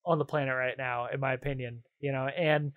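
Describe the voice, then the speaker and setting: A man's voice: mid-range voice, steady tone, a little fast, dry recording, narrow, tinny audio. A man hosting a video game podcast, chatting casually and enthusiastically in informal slang. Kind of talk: casual chat about video games.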